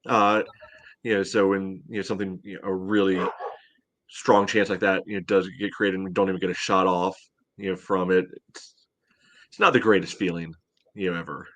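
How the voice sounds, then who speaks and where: A man with a low voice chats through match analysis as a podcast panelist. His sentences wander and are padded with frequent verbal tags, in a casual fan-talk style. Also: flat delivery, brisk, a bit bright. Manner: meandering, frequent "you know".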